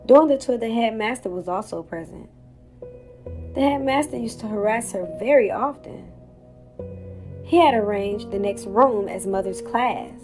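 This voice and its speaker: Teen girl reading out her letter, earnest, bit high, faint music behind. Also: darkish tone. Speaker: actor in audio drama.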